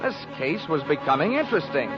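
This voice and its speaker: An American actor playing a wisecracking, cool, tough insurance investigator in hard-boiled 1940s radio drama style. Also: male voice, a little high, big pitch swings, brisk. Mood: wry narrating. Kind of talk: 1940s radio detective acting and first-person narration. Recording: muffled 1940s radio recording, music behind.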